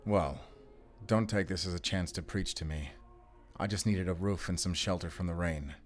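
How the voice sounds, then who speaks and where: An actor playing a weary, guarded werewolf hunter in an audio drama, terse, stern and defiant. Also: male voice, sits low, moderate pace, soft background music, close to an everyday voice. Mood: wary, irritated. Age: 30s.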